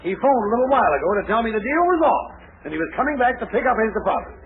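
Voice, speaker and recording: A man's voice: high voice, sing-song, fast talker, crowd noise. An actor playing an exasperated, blustery male employer in a 1940s radio comedy. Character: exasperated male boss trying to sell his ranch. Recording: tinny, noisy 1940s radio broadcast.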